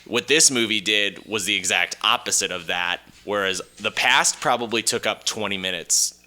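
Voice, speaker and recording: A man with a fairly low voice, bright and very clear. He talks as a podcast host in casual discussion, stating opinions with emphasis. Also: moderate pace. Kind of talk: casual film and video game discussion.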